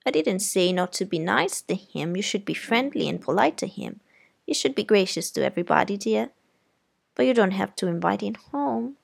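A woman's voice: medium pitch, expressive, moderate pace, creaky, breathy edge, heavily acted. A woman reading aloud voices a stern, proper older aunt who speaks in a haughty, raised voice.

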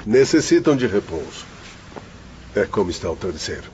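A kindly Brazilian attendant soothes in a lowish voice. The radio drama sounds muffled, with faint noise. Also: male voice, very animated, normal speed, darkish tone. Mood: attentive. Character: gentle, caring male attendant at a celestial hospital.

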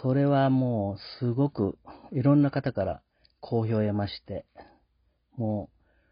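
A man hosting a show solo, speaking politely and reflectively in a casual, halting monologue. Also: medium pitch, dry recording. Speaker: host. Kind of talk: casual podcast sign-off monologue. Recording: dull lo-fi sound.

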